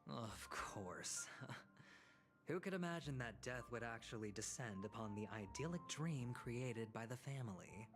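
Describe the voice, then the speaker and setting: An actor playing a suave, wry gambler in a video game, with an amused, airy, theatrical delivery. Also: male voice, mid-range voice, lively intonation, unhurried. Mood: wry amusement. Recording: loud music behind.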